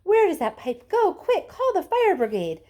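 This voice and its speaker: A woman voicing a hysterical, indignant mother in a children's story, with shrill, bossy exclamations. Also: wildly swooping pitch, normal speed, dark tone, full character voice. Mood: frantic bossy. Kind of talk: children's storybook read aloud.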